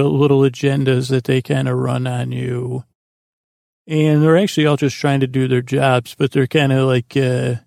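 A man with a creaky, gravelly voice hosting a sleep podcast in a meandering, halting, self-deprecating monologue full of tangents. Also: medium pitch, a little mellow, dry recording, clean studio sound. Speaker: podcast host.

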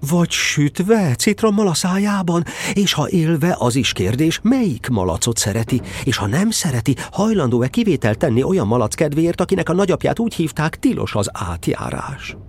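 A man narrating a storybook audio drama with playful, expressive storytelling. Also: fairly high pitch, few pauses, a little hiss or hum.

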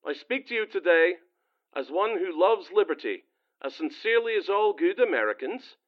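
High, swooping, earnest Norwegian patriot played by a man. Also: lively intonation, moderate pace, dull audio. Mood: earnest, rousing. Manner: formal, polite, oratorical. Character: Norwegian anti-Nazi leader, courteous, nervous, earnest orator. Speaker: speech-maker.

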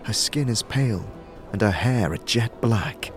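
A man's voice: on the low side, lively intonation, unhurried. A man reading scripted, dramatic storytelling narration as the narrator of an audio drama. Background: music.

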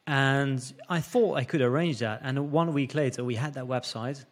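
A man's voice: medium pitch, moderate pace. A man in his thirties from the Netherlands, a native Dutch speaker with a Dutch accent, eagerly telling his story as a podcast guest with many restarts. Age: 30s. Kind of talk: conversational storytelling.

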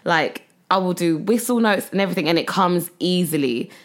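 A woman in her thirties speaks in a low-pitched voice. She co-hosts a relaxed podcast chat, chatty and encouraging, laughing easily with her friend. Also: fairly quick. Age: 30s. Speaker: podcast co-host. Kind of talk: casual chat.

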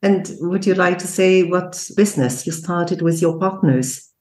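A woman's voice: on the low side, unhurried, warm tone. Woman podcast host, formal careful way of talking, kinda echoey room. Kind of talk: scripted intro then interview. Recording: roomy echo.

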